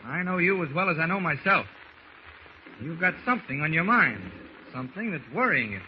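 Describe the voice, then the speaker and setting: Upright radio serial hero, earnest n measured, slightly high voice, hissy muffled old recording. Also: male voice, big pitch swings. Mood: concerned. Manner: earnest, well-spoken, measured. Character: upright, concerned adult man, calm and authoritative hero. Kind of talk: 1940s radio acting.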